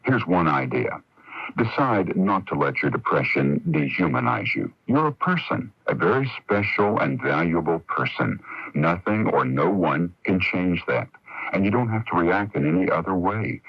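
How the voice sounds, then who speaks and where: A man's voice: low voice, expressive, normal speed, breathy edge, dry recording. A man speaking plainly and directly in a scripted inspirational radio message. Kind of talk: scripted counselling monologue. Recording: dull lo-fi 1980s AM radio recording.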